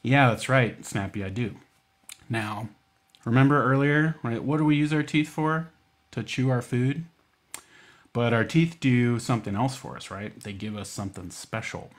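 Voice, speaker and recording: A man's voice: mid-range voice, normal speed. An American man hosting a children's storytime, speaking in a folksy, informal, coaxing way with frequent check-in questions. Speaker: library storytime host.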